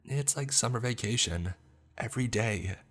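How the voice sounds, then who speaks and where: A man's voice: mid-range voice, animated, moderate pace, pitched above the performer's own voice, close to an everyday voice. A man voicing a cheerful, eerie ghost of a young boy in a spoken horror story. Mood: coaxing. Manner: playful, coaxing.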